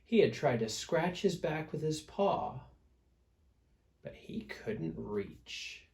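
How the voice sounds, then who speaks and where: A man's voice: on the high side, big pitch swings, vocal fry, darkish tone, a little hiss or hum. A man reading a picture book aloud to children as a storyteller, with dramatic emphasis. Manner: dramatic emphasis, pauses to prompt listeners.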